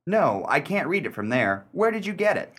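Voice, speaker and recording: A man playing a dry, skeptical gentleman collector who narrates and acts in a radio mystery play. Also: fairly high pitch, very animated, normal speed. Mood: skeptical, curious.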